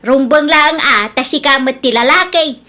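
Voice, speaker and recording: A woman's voice: high-pitched, moderate pace, pushed and bright. An actress playing a suspicious, demanding middle-aged mother in a radio drama, with a scolding, nagging manner.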